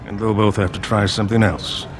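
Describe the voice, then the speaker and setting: An actor voicing a jaded, flippant young dwarven lord in a fantasy video game, talking in a weary, conversational way. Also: male voice, lowish voice, level tone, brisk, natural voice. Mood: weary resignation. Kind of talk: video game character dialogue.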